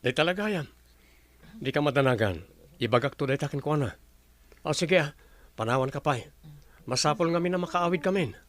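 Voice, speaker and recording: A man acting an earnest young Filipino in a radio drama. His voice is somewhat high for a man, and he speaks as a loyal friend would. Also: expressive, fairly quick, long pauses, natural voice. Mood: friendly.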